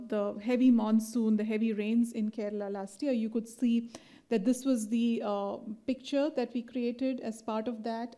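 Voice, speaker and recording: A woman giving a live conference presentation in a mellow, slightly high voice. She talks loosely, full of fillers and little "right?" checks. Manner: chatty, many fillers and tag questions.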